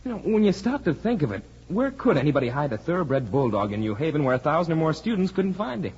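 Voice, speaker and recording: An actor playing an eager, puzzled young college student in a 1940s radio drama. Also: male voice, on the high side, very animated, quick pace, darkish tone, slightly rough. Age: young adult. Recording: muffled, hissy 1940s radio recording.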